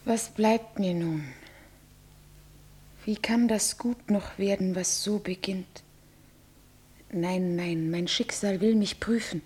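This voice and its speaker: Breathy young bride, played by a woman. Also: medium pitch, takes it slowly, long pauses, darkish tone. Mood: anxious. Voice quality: breathy, often whispering. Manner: emotional verse speaking. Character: young bride, fearful, devoted, pleading.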